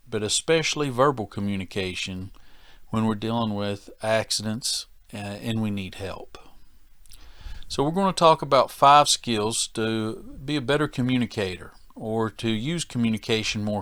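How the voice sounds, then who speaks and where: A man teaching as a safety training instructor in a plain-spoken, deliberate, folksy manner. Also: mid-range voice, a bit bright, dry recording. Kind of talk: instructional presentation to workers.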